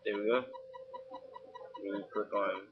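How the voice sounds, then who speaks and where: A young man from Georgia with a Southern accent, talking casually through a software tutorial at his home computer, full of fillers. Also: fairly low pitch, fairly even intonation, a little slow, breathy edge, phone-quality sound. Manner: informal, many fillers, talks while clicking. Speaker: tutorial host.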